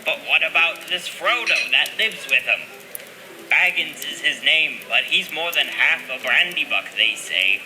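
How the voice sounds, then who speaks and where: Casting: male actor as spiteful hobbit miller, very sharp forceful voice, fairly high, carping and suspicious, rustic audio drama. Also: animated, a little slow, exaggerated voice. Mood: sneering, gossipy. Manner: carping, insinuating, rustic phrasing. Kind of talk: dramatized audio play dialogue.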